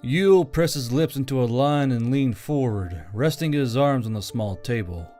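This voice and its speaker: A man's voice: medium pitch, expressive, normal speed, warm tone, faint music behind. A man reading scripted fantasy fiction as a story narrator.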